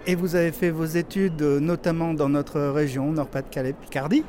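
Male interviewer, higher pitch, warm mellow tone, measured questions, light street noise. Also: flat delivery. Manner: long measured questions with fillers.

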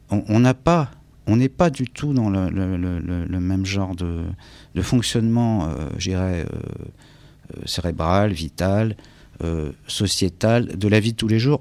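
A man's voice: fairly low pitch, darkish tone, no echo. A man from France with a French accent, speaking reflectively as an interview guest, often searching for words and repeating himself. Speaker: interview guest, English teacher and author.

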